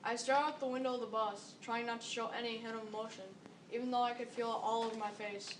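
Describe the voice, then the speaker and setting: A woman's voice: a little high, fairly even intonation, quick pace. A seventh-grade girl performing a scripted readers theater monologue. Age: about 12 to 13, seventh grader. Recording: echoey room, distant mic, faint noise.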